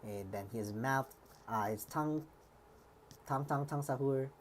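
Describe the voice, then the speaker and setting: A woman with a strikingly deep voice talks through a live stream, hesitant and rambling, full of uh, like and restarts. Her voice sounds a little worn, and a faint hiss sits under it. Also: normal speed. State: slightly worn voice. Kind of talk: live-stream reaction commentary.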